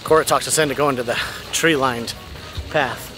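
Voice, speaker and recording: A man's voice: medium pitch, moderate pace, poor audio. Man, middle-aged, casual travel vlog narration, camera at arm's length, music in the background. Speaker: travel vlogger. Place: on location around a city, indoors and outdoors. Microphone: camera held at arm's length.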